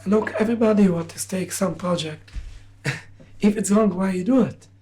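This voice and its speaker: A man's voice: high-pitched, normal speed, very noisy. A man from Palestine, not a native English speaker, giving emphatic, proud interview answers in broken English.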